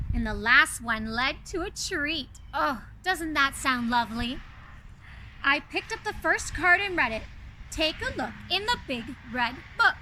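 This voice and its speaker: Woman, children's storyteller, slow pace, bright tone, gushing, direct to listeners, some background noise. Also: high voice, rough recording. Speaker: children's storyteller, reader in a princess character. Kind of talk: animated storybook reading with asides to young listeners.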